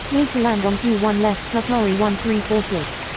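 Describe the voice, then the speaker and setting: A woman's voice: medium pitch, steady tone, moderate pace, close to an everyday voice. A woman voicing an airline pilot in a game, giving clipped, procedural radio calls in set phraseology. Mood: businesslike. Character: routine, businesslike female airline pilot. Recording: loud hiss, rain-like static.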